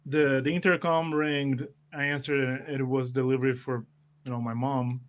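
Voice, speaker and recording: A man's voice: medium pitch, little pitch movement, normal speed, lo-fi sound. A man who plays tabletop games, explaining himself in casual conversation with his group. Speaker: tabletop roleplay player.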